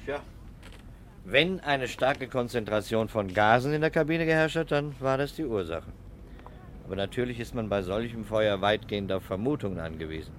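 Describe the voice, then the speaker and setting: Low-voiced, methodical actor as investigator. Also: male voice, fairly bright tone, slight background noise, close to an everyday voice. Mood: measured. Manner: deliberate, understated, questioning. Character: measured, methodical male fire investigator, cautious and sceptical. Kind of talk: 1960s German radio crime drama acting.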